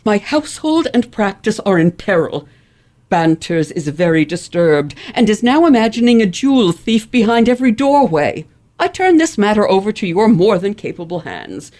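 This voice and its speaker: A woman's voice: medium pitch, sing-song, moderate pace, darkish tone, natural voice. Woman playing a pompous, swooping grande dame. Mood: imperious, threatening. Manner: pompous, formal, emphatic enunciation. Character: proud, vain, imperious elocutionist lady, easily outraged. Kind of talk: old-fashioned radio play acting.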